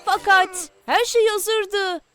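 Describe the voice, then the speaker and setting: A woman's voice: very high voice, steady tone, slow pace, bright, forceful tone, full character voice. A woman voicing a cheerful, friendly young boy ranger in a children's cartoon dub, eager and playful. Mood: earnest, eager. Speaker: main character of a children's cartoon. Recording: music under the voice.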